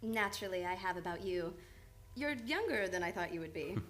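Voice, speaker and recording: A woman playing a young, earnest psychiatrist with formal, measured speech in an old-time radio play. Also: on the low side, animated, fairly bright tone. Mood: polite. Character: young, reserved, earnest female psychiatrist, precise and clinical.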